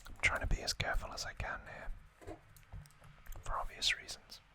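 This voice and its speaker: An ASMR host whispering softly and carefully through an unboxing, with gentle pauses.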